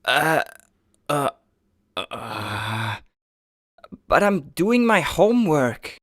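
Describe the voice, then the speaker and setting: A man's voice: fairly high pitch, very animated, extremely slow, fairly bright tone, no room sound, studio quality, exaggerated voice. A man voicing a timid, self-doubting boy trainer in a manga dub, hesitant and introspective. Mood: reluctant. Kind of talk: manga dub narration and dialogue.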